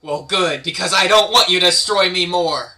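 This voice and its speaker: A determined fantasy hero, read by a man, in a very bright, slightly high voice. He makes earnest, defiant declarations, as in an amateur drama read. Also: normal speed, heavily acted.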